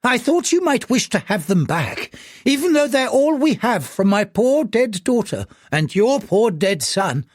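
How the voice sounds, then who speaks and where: A man's voice: very high-pitched, big pitch swings, measured pace, dry recording, studio quality, pitched above the performer's own voice, full character voice. A male audiobook reader voicing a frail, anxious old gambler who pleads breathlessly. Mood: wheedling. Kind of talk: audiobook character voice.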